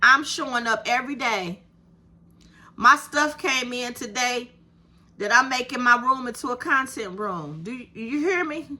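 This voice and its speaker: Soft-voiced woman in her 50s, slow and bright, chatty and encouraging, keeps asking you hear me. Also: fairly high pitch. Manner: animated, encouraging, talks to viewers with "baby" and "you hear me". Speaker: YouTube creator. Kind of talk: casual direct-to-camera talk.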